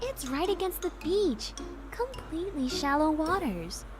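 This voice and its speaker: Super high young anime girl voice, comedy game, lively swings, music under it. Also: a little slow. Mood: flippant. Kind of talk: visual novel voice acting.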